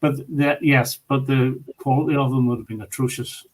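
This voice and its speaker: A man's voice: medium pitch, fairly even intonation, normal speed, low-quality recording. A man in a casual chat about record collecting, speaking in an opinionated, emphatic way.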